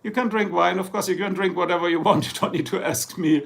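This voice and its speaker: Man, German accent, livestream host, rambling joker, gravelly, slightly high pitch. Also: quick pace. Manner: rambling, jokey, slipping into German. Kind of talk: casual livestream chat reading comments. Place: home in Ohio, US.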